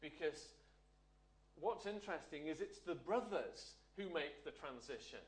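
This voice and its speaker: A man's voice: fairly high pitch, animated, a little slow. A man preaching a sermon, often asking the listeners rhetorical questions. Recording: echoey room.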